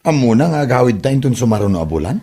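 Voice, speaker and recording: A man playing a laid-back, curious husband in an Ilocano comedy audio drama, husky and casual, with an Ilocano Filipino accent. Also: medium pitch, big pitch swings, racing, dark tone.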